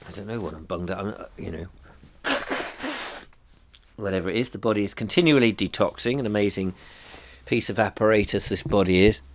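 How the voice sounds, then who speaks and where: A man's voice: fairly low pitch, brisk, pauses often, a little hiss or hum. A middle-aged man with a blocked-up nose, musing hesitantly to camera with many ums and restarts. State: congested, bunged up. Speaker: vlogger. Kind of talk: rambling personal reflection to camera.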